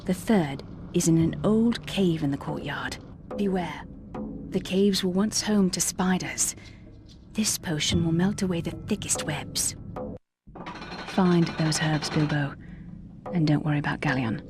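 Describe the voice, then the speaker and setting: A woman voicing a calm, secretive elf who gives guidance in a video game. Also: deep voice, measured pace, over music. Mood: conspiratorial. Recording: noisy game ambience behind the voice.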